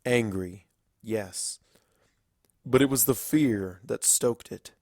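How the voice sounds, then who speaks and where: Slow, deep-voiced man narrating dramatic fiction. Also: darkish tone, no echo, clean studio sound. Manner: grave, deliberate storytelling with dramatic pauses.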